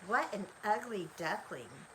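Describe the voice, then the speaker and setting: An older woman voicing teasing farmyard hens and geese in a storybook, loud and taunting. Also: medium pitch, animated, measured pace, background noise, natural voice. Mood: mocking.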